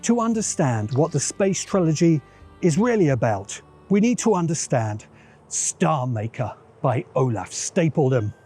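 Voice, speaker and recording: A man's voice: a little high, very animated, unhurried, warm tone, soft background music. A man hosting a science fiction channel, giving a scripted video essay narration in a dramatic, musing way with dry asides.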